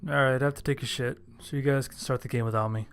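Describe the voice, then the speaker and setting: A man's voice: medium pitch, fairly even intonation, fast, fairly bright tone, slight background noise, close to an everyday voice. A man playing a nervous, awkward young geek in an amateur audio drama, hesitant and sheepish. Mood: casual, uneasy. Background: background music.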